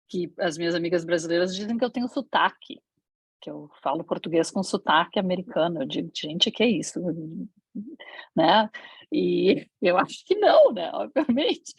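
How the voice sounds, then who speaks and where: Husky-voiced Brazilian woman, reflective. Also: on the low side, no room sound. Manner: reflective, candid storytelling with frequent hesitations. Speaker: guest speaker. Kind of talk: online panel talk.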